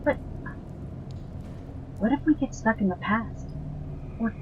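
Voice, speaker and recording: An actress plays an anxious but loyal woman in an audio drama. Her voice is a bit high and measured, with an earnest delivery. The recording is slightly dull with faint noise. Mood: worried. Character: cautious, anxious woman, the hero's loyal partner.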